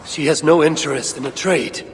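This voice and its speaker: A male actor voices a determined, scheming god for a Norse fantasy game. His voice sits slightly high for a man, and he speaks with formal, persuasive authority. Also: steady tone, normal speed, slight background noise, close to an everyday voice. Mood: intent, resolute. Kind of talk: video game dramatic acting.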